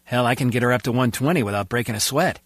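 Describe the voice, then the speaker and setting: A man's voice: mid-range voice, quick pace, no echo, lightly acted. An actor playing a gabby, opinionated henchman guard in comic video game banter. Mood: chatty.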